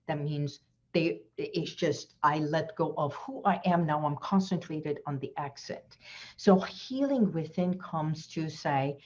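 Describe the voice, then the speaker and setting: A woman's voice: low-pitched, slow pace, muffled recording. A woman hypnotherapist giving an earnest, reflective inspirational talk as a guest in an online session, repeating her key phrases.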